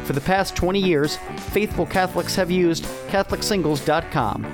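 A man's voice: sits high, normal speed. Polished male ad announcer over music. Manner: smooth, polished delivery. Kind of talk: sponsor ad read. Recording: ad voice with music bed.

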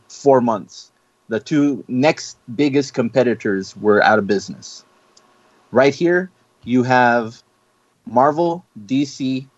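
A man's voice: mid-range voice, no room sound. A man argues his side bluntly, swearing now and then. His voice sounds dry and close, with no room around it. The track is dull and lo-fi. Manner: blunt, argumentative, swears casually. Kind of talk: casual group chat.

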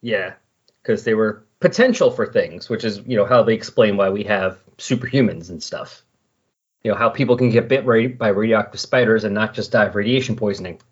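A man talking casually and loosely on a comics podcast, thinking aloud as he explains, with a slightly husky voice. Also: lowish voice, a little fast. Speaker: podcast co-host discussing comics. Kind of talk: casual, rambling comics discussion. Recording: dull lo-fi voice recording.

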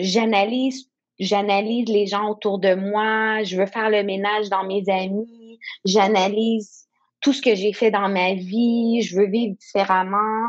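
Slow-speaking woman, native English speaker. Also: medium pitch, highs cut off. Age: 30s. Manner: reflective, searching for words. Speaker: guest entrepreneur. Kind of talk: candid conversational interview.

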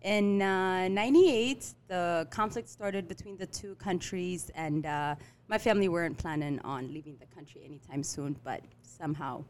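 A middle-aged woman from Eritrea who grew up in Ethiopia, a restaurant owner and guest, telling her story casually with many fillers and pauses. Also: fairly low pitch, a little mellow. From Eritrea, raised in Ethiopia. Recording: faint background noise.

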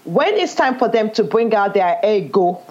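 A woman with a Nigerian accent talks in a casual conversation, emphatic and animated. Her pitch rises and falls a great deal as she tells her stories. The recording sounds dull and lo-fi. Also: moderate pace. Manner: animated, emphatic storytelling with laughs. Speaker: guest.

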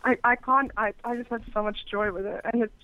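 A woman's voice: a little high, fairly even intonation, a little fast, bright, forceful tone. A woman from Africa, a guest chatting frankly and casually about her work, with many restarts. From South Africa. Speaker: guest, phone sex operator. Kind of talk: casual interview chat. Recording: dull muffled phone-like sound.

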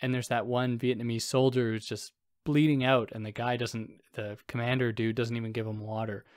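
A man with a soothing voice talks through a philosophy essay on his own podcast, loose and rambling, tossing in self-deprecating asides. His pitch sits in the middle for a man. The sound is dry and close, with no room around it. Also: flat delivery, normal speed, no echo. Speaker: podcast host. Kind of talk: casual solo philosophy lecture.

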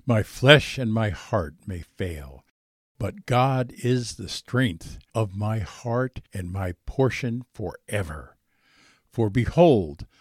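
A man reading a psalm from the Bible aloud in an expressive, dramatic style. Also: medium pitch, no room sound, studio quality. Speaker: scripture reader.

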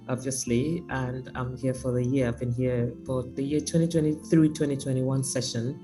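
A woman artist speaking by phone in an interview, reflective and sometimes joking, with lively emphasis. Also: very deep voice. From Nigeria.

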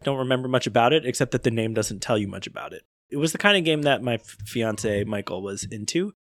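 A man's voice: medium pitch, expressive, fast, fairly bright tone. A man hosting a podcast, casually running through the week's news and chatting. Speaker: podcast host. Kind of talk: casual chat, presenting weekly releases.

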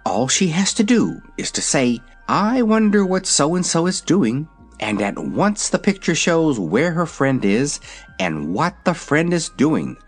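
A man's voice: on the high side, very animated, measured pace, breathy edge, soft background music, voice pushed up, heavily acted. A man voicing a cheerful, confident young girl in a fairy-tale story. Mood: bright, confident. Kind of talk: storybook character voice.

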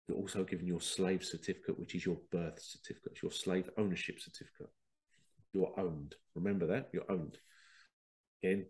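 A man's voice: low-pitched, a little mellow. A man with a head cold and a congested voice, hosting a rambling spiritual talk and often acting out quoted exchanges. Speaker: podcast host. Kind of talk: casual spiritual monologue.

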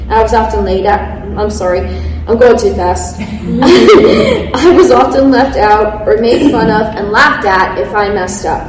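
A woman's voice: a little high, a little mellow, a little hiss or hum. A woman in her thirties on a panel, reading prepared remarks in an eager way, laughing at herself when she stumbles. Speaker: panelist, athlete speaker. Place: large event hall. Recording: echoey hall, mic at a distance.

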